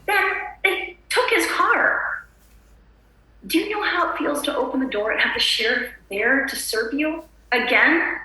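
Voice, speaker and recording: A woman's voice: sits high, pushed and bright, exaggerated voice. A woman playing an anxious, polite suburban housewife in a screenplay table read, prim and chirpy. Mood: indignant, flustered. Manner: prim, apologetic, fussy. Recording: echoey room.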